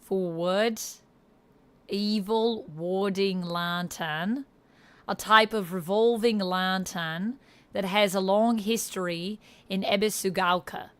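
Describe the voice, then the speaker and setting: A woman streamer chatting casually in a put-on, wavering Australian accent, halting and repeating words as she tries out the sounds. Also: mid-range voice, fairly even intonation. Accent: put-on Australian, slipping toward New Zealand and Irish. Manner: halting, self-conscious, trying out vowel sounds and repeating words. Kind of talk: casual livestream chat while practicing accents.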